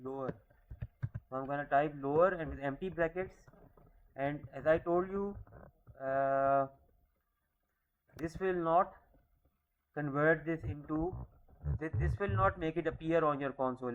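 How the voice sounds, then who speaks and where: A man's voice: on the high side, unhurried, pauses often, highs cut off, a little hiss or hum. A man in his thirties teaching a programming class, explaining step by step and often checking in with "okay?". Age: 30s. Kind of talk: screen-recorded coding lecture.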